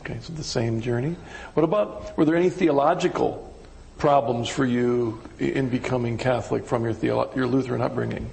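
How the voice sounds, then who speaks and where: A man's voice: mid-range voice, a little fast, vocal fry, a little mellow, slight background noise. A man from the United States interviewing a guest, offering short encouragements and supplying words. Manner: brief encouraging backchannels, helps with words.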